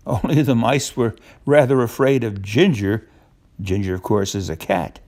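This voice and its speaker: An older man reading a children's storybook aloud, pausing to explain things simply to a young listener. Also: on the low side, darkish tone.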